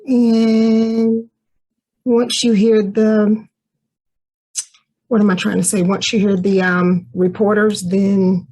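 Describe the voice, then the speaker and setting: A woman giving casual working instructions as a director, with a husky, gravelly voice. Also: mid-range voice, little pitch movement, slow pace, long pauses, dark tone, poor audio. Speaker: director editing a radio play recording.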